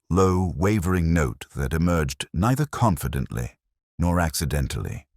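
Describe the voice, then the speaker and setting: Casting: a man as a brooding, bookish inspector in middle age narrating an audio mystery; rock-bottom bass, measured literary delivery, close dry sound. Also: no room sound, exaggerated voice. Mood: brooding, uneasy. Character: brooding, erudite middle-aged male police inspector. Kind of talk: scripted first-person detective narration, audio drama.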